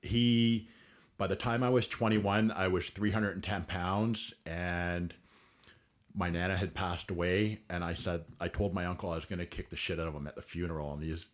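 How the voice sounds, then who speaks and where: A man's voice: sits low, fairly even intonation, moderate pace. A man in his forties, a bodybuilder guest telling his story bluntly in a podcast interview, swearing freely and often restarting. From Ontario, Canada.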